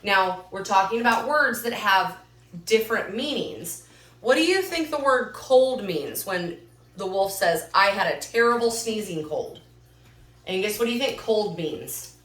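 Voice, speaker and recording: Bright, animated woman teaching young children. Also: medium pitch, unhurried. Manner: prompting, repeats answers back, affirming. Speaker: teacher reading aloud to children. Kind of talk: interactive read-aloud lesson with questions. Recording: roomy echo, distant mic.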